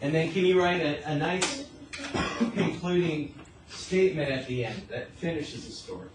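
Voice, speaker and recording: A man's voice: fairly high pitch, lively intonation, normal speed, heavy background noise. A man teaching a class as a math teacher, explaining step by step and often asking questions to check understanding. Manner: asks frequent checking questions.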